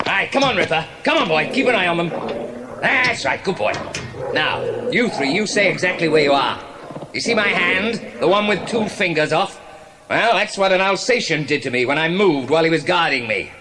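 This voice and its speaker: A menacing English gang boss in an audio drama. His voice is rather high and he speaks in commands and threats, on a noisy recording. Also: animated, moderate pace. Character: menacing, bossy criminal gang boss, a grown man.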